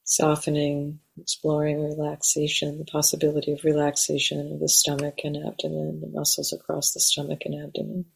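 A woman leading a guided meditation in a gentle, measured way, leaving long pauses. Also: deep voice, monotone, vocal fry, breathy edge, poor audio. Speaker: meditation teacher. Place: meditation center.

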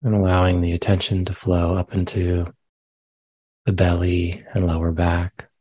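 Man, meditation teacher, low mellow voice, husky softness, gentle phrasing, long pauses. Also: monotone, no room sound. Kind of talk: guided meditation. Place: meditation center.

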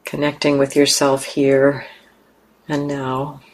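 A woman leading a guided meditation, speaking calmly and gently with long, unhurried pauses. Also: very deep voice. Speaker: meditation teacher.

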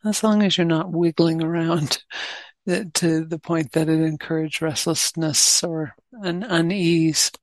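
Woman meditation teacher, real slow, lowish voice, lots of pauses, dry close sound. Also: fairly even intonation, no room sound. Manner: gentle, unhurried, long pauses between short phrases. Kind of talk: guided meditation.

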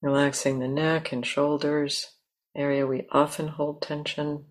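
A woman guides a meditation in a low voice. Her delivery is very slow and gentle, with long pauses between short instructions. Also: level tone. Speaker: meditation teacher.

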